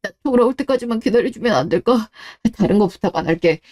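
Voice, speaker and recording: A woman's voice: fairly high pitch, animated, fast talker, dark tone. A woman voicing an earnest, emotional husband, loyal to his wife and embittered, in a melodramatic radio drama. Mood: pleading.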